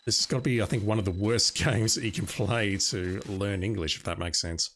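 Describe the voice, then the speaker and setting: A man's voice: lowish voice, fairly quick, a bit bright. A man whose first language is Russian, casually talking through a video game as he plays, musing and chatty, with game music behind him. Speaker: streamer. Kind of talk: casual gameplay commentary.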